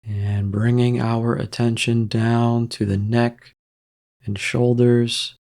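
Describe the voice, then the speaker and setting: A man leading a guided meditation in a calm, gentle, measured way, leaving long pauses between soft instructions. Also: medium pitch.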